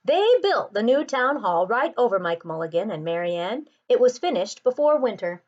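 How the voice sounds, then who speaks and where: A woman reading a children's picture book aloud, with expressive and deliberate phrasing. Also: a little high, lo-fi sound. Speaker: storybook reader.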